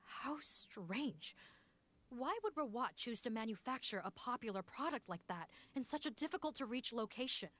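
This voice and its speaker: Composed, fairly high-voiced female officer. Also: lively intonation, fairly bright tone, slight background noise. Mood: analytical. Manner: formal, measured, commanding. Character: composed, authoritative female law officer, serious and analytical. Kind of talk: English video game dub acting.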